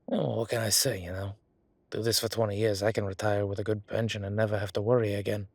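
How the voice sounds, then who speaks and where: A man's voice: on the low side, flat delivery, fast. A man playing a defensive, chatty government records clerk in an audio roleplay, talking in a rambling, colloquial way. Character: male records hall clerk, defensive, chatty, lazy bureaucrat. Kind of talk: solo audio roleplay, conversational acting.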